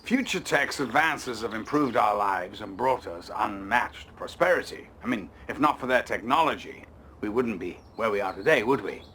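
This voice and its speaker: An actor playing a smug, evasive politician who talks down to people in a game cutscene. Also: male voice, fairly low pitch, lively intonation, moderate pace, a bit bright, slight background noise. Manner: smooth, condescending, rhetorical. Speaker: president.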